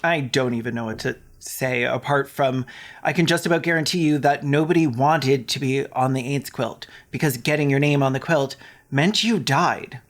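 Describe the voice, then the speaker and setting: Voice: mid-range voice, normal speed. A commentator delivering a scripted video essay with casual asides, in a sarcastic, mocking and sweary manner.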